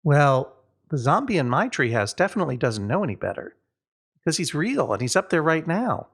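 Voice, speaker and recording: A man's voice: fairly high pitch, very animated, a little fast. Storybook kid, read by a man: glum, matter-of-fact, slyly goading with dry warnings.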